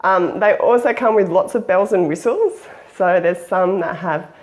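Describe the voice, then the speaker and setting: A woman with a croaky, flu-hoarse voice, hosting a casual how-to vlog in a chatty, friendly way with an Australian accent. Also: fairly low pitch, a little slow, darkish tone, low-quality recording. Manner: friendly, chatty, with small laughs and fillers. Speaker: memoir-writing channel host.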